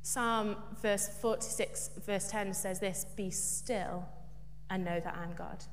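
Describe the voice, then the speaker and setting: A woman's voice: mid-range voice, fairly even intonation, slow. A woman preaching a light, humorous sermon in church, chatty and self-deprecating. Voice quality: big loud voice. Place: church hall. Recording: echoey church hall, faint hum.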